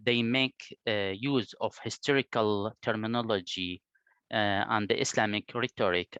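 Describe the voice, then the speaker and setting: Slow, hesitant Iraqi man, smooth voice. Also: on the low side, a bit bright, dry recording, muffled recording. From Iraq. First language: Arabic. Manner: slow, hesitant, many fillers. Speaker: researcher, interview guest. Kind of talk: interview answers.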